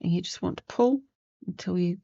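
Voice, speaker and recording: A woman's voice: fairly low pitch, a little fast, gravelly, warm tone, husky, dry recording, lo-fi sound. A woman with a sniffly cold, chatting casually as she demonstrates a sewing technique, rambling and losing her thread at times. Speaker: crafting channel host. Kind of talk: casual sewing tutorial chat.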